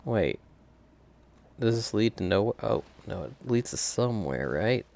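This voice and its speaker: A man's voice: fairly low pitch, animated, measured pace, vocal fry, darkish tone, a little hiss or hum. A man doing casual gameplay commentary, thinking aloud and chuckling often as he streams a video game.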